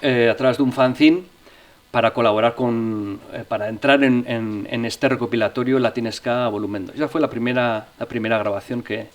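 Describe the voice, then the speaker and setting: A middle-aged man from Navarre, a musician and interview guest, recounting his story in a relaxed way with frequent restarts and fillers. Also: mid-range voice, normal speed. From Navarre, Spain. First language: Spanish.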